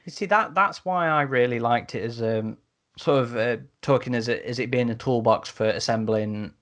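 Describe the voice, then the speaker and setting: A man with a medium-pitched voice hosts a casual podcast discussion. He feels his way through sentences, doubling back on words as he goes. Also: normal speed, fairly bright tone. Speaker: podcast host.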